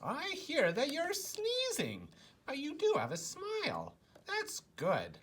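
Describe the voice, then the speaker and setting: A woman's voice: high-pitched, wildly swooping pitch, takes it slowly, exaggerated voice. A woman playing a kindly, curious helper who chats with a storybook character. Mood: friendly, curious.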